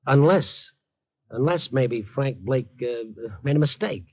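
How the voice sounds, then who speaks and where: Husky streetwise reporter in old 50s radio drama, urgent and protective, dull muffled recording. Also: male voice, mid-range voice, takes it slowly. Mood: thinking aloud. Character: streetwise male newspaper reporter, protective and quick-thinking. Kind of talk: 1950s radio drama acting and narration.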